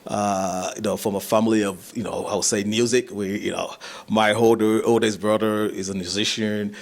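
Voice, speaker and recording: A man's voice: on the low side, normal speed, gravelly. Chuckling Nigerian-born male musician guest. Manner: relaxed, chuckling, many fillers and restarts. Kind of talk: casual interview chat.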